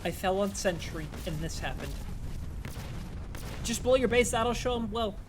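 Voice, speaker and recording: Guy streaming a game, kinda high voice, hoarse from yelling, rambly chat, game noise behind. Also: expressive, measured pace. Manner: rambling, chatty, answering chat between plays. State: strained, losing his voice. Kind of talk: casual live-stream gameplay commentary.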